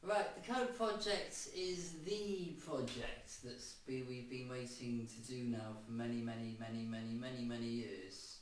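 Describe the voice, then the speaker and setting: Halting middle-aged man, far and echoey. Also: medium pitch. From Cardiff, Wales. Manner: halting, repeats words and restarts often. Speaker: guitarist and producer. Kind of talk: interview answers. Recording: distant, very echoey room with faint noise.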